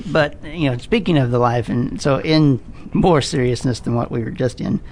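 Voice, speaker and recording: A man's voice: mid-range voice, moderate pace, a little mellow. A man in his forties co-hosting a podcast, joking around in casual chat. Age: 40s. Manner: playful, joking. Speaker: podcast co-host.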